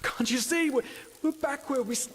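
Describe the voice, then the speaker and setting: An actor playing a tormented, bitter and fatalistic man in a fantasy game, with an anguished, halting delivery. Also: extremely high pitch. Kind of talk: dramatic fantasy game acting. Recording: long hall reverb with noise.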